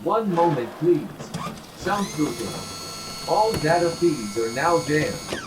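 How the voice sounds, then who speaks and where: Slow, impersonal security computer, voiced by a man. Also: on the high side, sing-song, very noisy. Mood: calm, impersonal. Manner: formal, clipped status announcements. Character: courteous automated building security system, unhurried and impersonal. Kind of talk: sci-fi audio drama machine voice.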